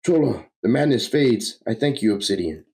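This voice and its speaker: A man's voice: medium pitch, animated, moderate pace, dark tone, slightly rough, pitched above the performer's own voice. A man voicing a noble, stern sorcerer lord in a grand, formal manner, reading from a comic book. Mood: relieved. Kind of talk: comic book read-aloud.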